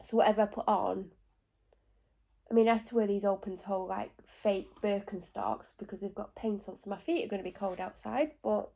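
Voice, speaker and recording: A woman's voice: mid-range voice, normal speed, gravelly, warm tone. A woman with a blocked nose from a cold, rambling at home in a casual vlog with lots of asides and self-corrections. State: stuffy and congested with a cold. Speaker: vlogger.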